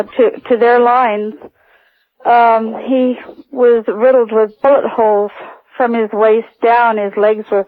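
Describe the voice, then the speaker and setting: An older woman calling a radio show by phone, recounting personal stories in a hesitant, halting way. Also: fairly high pitch, no room sound. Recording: narrow tinny phone line.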